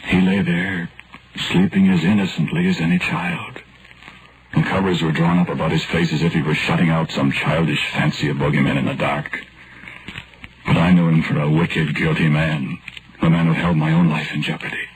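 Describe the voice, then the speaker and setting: A man's voice: rumbling low, little pitch movement, moderate pace, noisy background. An actor playing a haunted, wrongly condemned man, narrating dramatically and poetically in 1940s radio horror style. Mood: troubled, bitter. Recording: vintage radio recording with loud music.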